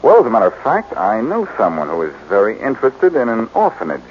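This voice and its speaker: A smooth wisecracking 40s radio detective, low voice, really lively delivery, muffled old broadcast. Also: male voice, fast talker, slight background noise. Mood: smooth, amused. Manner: suave, wisecracking. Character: wry, self-assured male amateur sleuth with a teasing streak. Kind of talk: 1940s radio acting.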